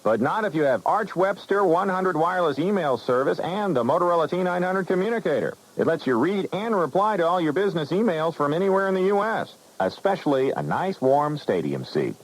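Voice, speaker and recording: A man's voice: fairly high pitch, big pitch swings, fairly quick, few pauses, rough recording. A man reading a persuasive radio commercial in a salesy announcer manner. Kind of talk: scripted radio ad.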